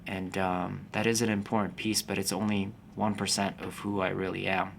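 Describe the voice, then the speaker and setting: A man in his forties, born in the Philippines, a fitness business coach talking to camera in a calm, slow, unhurried way. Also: sits low, vocal fry, slight background noise. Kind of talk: casual talking-to-camera vlog.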